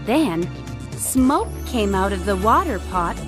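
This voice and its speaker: A woman narrating a scripted children's fairytale as an expressive storyteller. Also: mid-range voice, slow. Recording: music bed behind.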